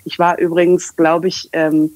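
Casting note: expressive, rambling woman in her 30s, casual interview chatter, close and dry recording. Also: on the low side, normal speed, no room sound, rough recording. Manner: chatty, rambling, many fillers. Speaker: communication coach and English trainer.

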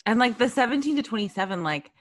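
A woman hosting a podcast, chatting casually in an animated way, full of "like". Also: a little high, fast. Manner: animated, lots of "like". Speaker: podcast host. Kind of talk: casual chat.

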